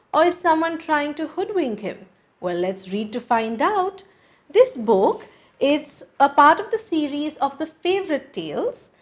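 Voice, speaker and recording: A woman hosting a children's storytime, reading a picture book aloud expressively. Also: high-pitched. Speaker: children's storytime host and narrator.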